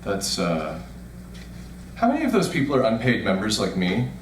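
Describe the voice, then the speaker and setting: A man's voice: on the low side, huge pitch swings, normal speed, slight background noise. An actor playing a bewildered, wry man trapped in a digital afterlife, with a hesitant, dry, naturalistic delivery in a scripted audio comedy. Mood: hesitant, uneasy.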